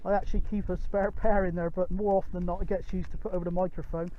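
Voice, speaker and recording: A man's voice: high-pitched, flat delivery, fast talker. A man chatting casually as a CB radio operator, chummy, repeating himself and using radio jargon and call signs. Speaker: CB radio operator, channel host. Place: outdoors on a windy mountain peak. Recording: dull, windy outdoor recording with noise. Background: wind.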